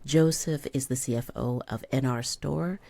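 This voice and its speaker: Very low-voiced woman hosting. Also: moderate pace, vocal fry, dark tone, no echo. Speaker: podcast host and coach. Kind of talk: interview show hosting.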